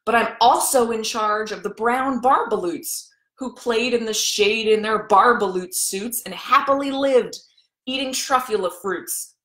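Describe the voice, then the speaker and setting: Woman acting out small grumpy storybook creature, raised pitch, indignant scolding, emphatic, echoey room. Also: measured pace, fairly bright tone. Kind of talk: children's storybook read-aloud.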